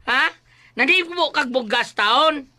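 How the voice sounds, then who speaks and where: A man playing an excitable, aggrieved husband and luckless bettor in a comic radio drama, with a Cebuano accent and whiny, exclamatory complaints. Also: extremely high pitch, takes it slowly, exaggerated voice. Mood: exasperated, accusing.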